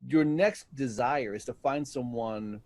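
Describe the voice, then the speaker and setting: A man's voice: medium pitch, unhurried, darkish tone, dry recording. A man being interviewed as a guest, reflecting thoughtfully and often searching for his words. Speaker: interview guest, voice actor in an audio drama.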